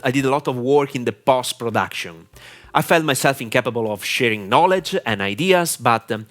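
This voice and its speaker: A man with an Italian accent, whose first language is Italian, giving a deliberate, clear instructional talk to camera with frequent "uh" fillers. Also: medium pitch, fairly bright tone. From Italy. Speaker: online marketing mentor.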